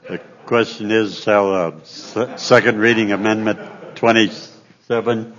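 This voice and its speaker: Deep slow-spoken man presiding. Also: fairly even intonation, a bit bright. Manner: brief, procedural. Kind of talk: formal chairing of debate. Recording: dull lo-fi chamber audio.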